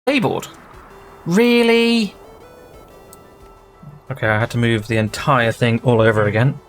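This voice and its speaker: A man's voice: medium pitch, lively intonation, a little slow, soft background music. A man hosting his gaming channel, rambling and thinking aloud in casual gameplay commentary.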